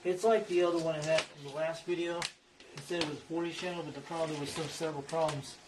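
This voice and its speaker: Male hobbyist presenter, slightly high voice, casual rambling repetitive show-and-tell delivery, faint background noise. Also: little pitch movement, fast talker.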